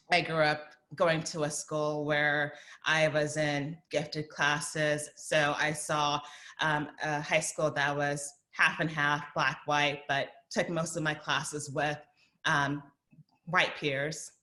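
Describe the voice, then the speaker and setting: A woman's voice: sits low, bright, forceful tone. A woman in her thirties from the American South, speaking thoughtfully at a community forum and answering questions, with frequent fillers. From Southern United States. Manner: thoughtful, many fillers, quick bursts. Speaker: guest speaker. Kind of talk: forum talk and Q&A.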